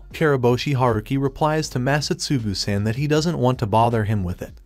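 A man retells a manga chapter in steady third-person narration. His delivery stays even and flat, with stiff, translated-sounding wording. His voice sits at an ordinary middle pitch.

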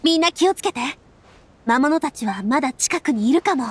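Steady, confident young adventurer woman. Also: high-pitched, normal speed, slight background noise. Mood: reassuring. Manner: reassuring, composed. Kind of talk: anime-style video game acting.

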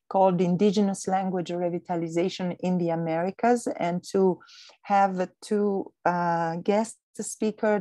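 A woman directing a university research center, giving a halting formal welcome over a video call with frequent pauses. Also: on the low side, warm tone, no room sound. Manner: hesitant, many pauses and fillers. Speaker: center director opening an event.

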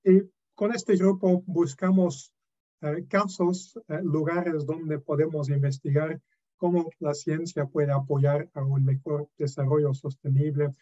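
A man's voice: fairly high pitch, level tone, slow pace, warm tone. A man in his thirties, a non-native speaker, giving an academic lecture over a video call with many hesitations and restarts. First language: not Spanish. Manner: hesitant, frequent fillers and restarts. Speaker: guest lecturer. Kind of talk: academic webinar talk. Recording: dull lo-fi video call audio.

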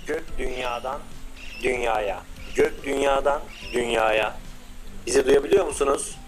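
A confident lead scientist in a children's cartoon, as a man plays him, handing out orders in a firm but warm voice of middle pitch. Soft music plays faintly underneath. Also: a little slow, natural voice. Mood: commanding. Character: confident male lead scientist, the older brother.

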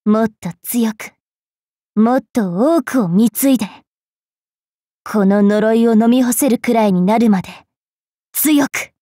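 A woman's voice: a little high, measured pace, long pauses, mellow, dry recording, clean studio sound, close to an everyday voice. A woman voicing a haughty, teasing young female fairy knight in an anime mobile game. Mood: haughty, solemn. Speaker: voice actress.

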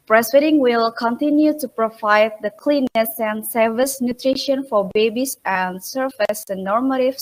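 A woman lecturer from Indonesia with an Indonesian accent, giving a conference talk over a video call in a halting, polite way, with many fillers and restarts. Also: on the high side, low-quality recording. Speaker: midwifery lecturer and researcher. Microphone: laptop webcam mic on a video call.

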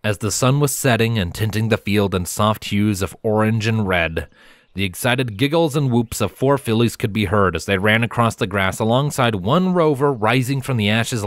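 Expressive male story narrator, lively pitch.

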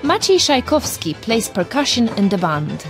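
A woman from Poland with a Polish accent, presenting a television documentary in measured, reflective narration. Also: fairly high pitch, big pitch swings, over loud music.

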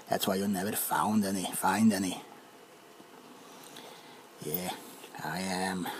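A man voicing a greedy, mischievous male leprechaun in a put-on Irish accent, speaking low and often in a whisper in a comic ASMR roleplay. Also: slight background noise, low-quality recording. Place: dark room, close to camera.

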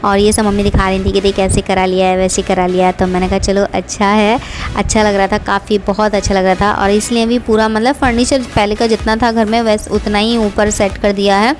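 A middle-aged woman talks through her vlog in a loose, chatty voice-over, circling back and repeating herself as she goes. Her voice sits at an ordinary middle pitch. Background music plays clearly underneath her. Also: level tone, brisk. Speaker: vlogger. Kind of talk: casual voice-over narration.

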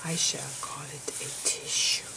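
A woman voicing an eccentric, digressive physicist professor in a whispery, halting ASMR roleplay lecture. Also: sits low, flat delivery, low-quality recording. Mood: musing, pedantic. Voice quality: whispery, breathy. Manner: halting, pedantic, rambling.

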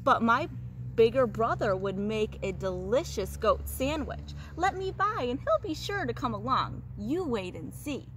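A crafty little billy goat from a children's tale, acted by a woman reading aloud. He is squeaky and persuasive, with a high voice and light outdoor noise. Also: sing-song, unhurried, fairly bright tone. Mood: crafty pleading. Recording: noisy outdoor recording.

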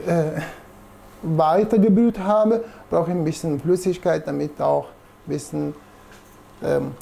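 A man in his forties with an Italian accent, a native Italian speaker, giving a casual, halting, self-deprecating demonstration in a gravelly voice. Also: fairly high pitch, warm tone. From Italy. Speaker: presenter demonstrating espresso machines. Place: small indoor coffee showroom. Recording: noticeable background room noise.

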